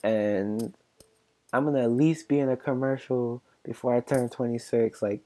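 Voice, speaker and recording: Young man, twenties, soft mellow voice, halting, filler-heavy podcast ramble. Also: mid-range voice, fairly even intonation. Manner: halting, filler-heavy, many restarts and likes. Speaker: podcast host. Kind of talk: rambling casual chat.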